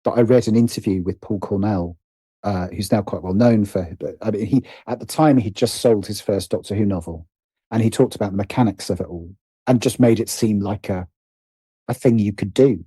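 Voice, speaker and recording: Mellow British man, thinking aloud. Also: on the low side, brisk, slightly rough, dry recording. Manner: hesitant, self-interrupting, thinking aloud. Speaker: interview guest, writer. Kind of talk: conversational personal storytelling.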